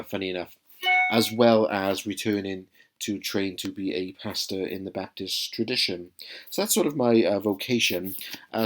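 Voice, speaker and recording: Welsh man vlogging, low voice, hesitant. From South Wales. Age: 30s. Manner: hesitant, many ums and uhs, friendly and earnest. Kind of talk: casual talking-to-camera vlog.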